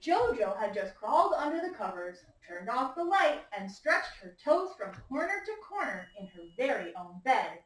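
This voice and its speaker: A woman teacher reading a picture book aloud to young children, patiently prompting them with questions and gentle instructions. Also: medium pitch. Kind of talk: interactive picture-book read-aloud to a class. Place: echoey indoor room with young children. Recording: echoey room, distant microphone.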